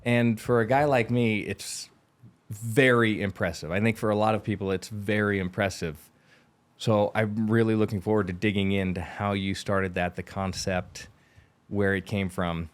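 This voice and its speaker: A man's voice: on the low side, fairly even intonation, normal speed. Eager male podcast host, wordy questions. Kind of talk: casual interview chat.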